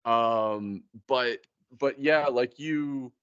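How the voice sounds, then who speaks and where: A man in a casual, informal chat, thinking aloud in halting phrases. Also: mid-range voice, fairly bright tone, clean tone, no room sound, muffled recording.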